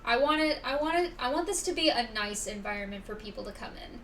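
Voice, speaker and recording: A woman's voice: on the high side, animated, fast, a bit bright. A woman chatting casually as she hosts a live game stream. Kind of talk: casual livestream chat. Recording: distant mic, faint background noise.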